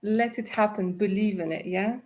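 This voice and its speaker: A woman's voice: mid-range voice, steady tone, normal speed, darkish tone. A woman doing a live tarot reading on a stream, chatty and affectionate, calling viewers darling. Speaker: tarot reader.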